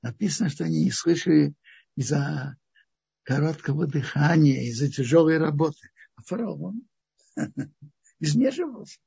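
A man teaching the weekly Torah portion in a halting, thinking-aloud way, often restarting his phrases. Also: on the high side, lively intonation, creaky, warm tone, slightly rough, highs cut off.

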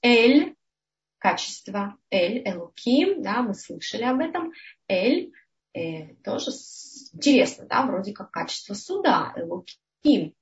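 Woman lecturer at medium pitch, patient teaching tone, slightly muffled lo-fi recording. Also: sing-song, unhurried. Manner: explanatory, often checks in with "да?". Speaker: religious teacher. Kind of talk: lecture with slides.

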